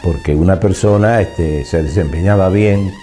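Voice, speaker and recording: An older man with an Argentine accent reminisces in an interview, speaking haltingly as he searches for words. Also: low voice, dark tone, soft background music.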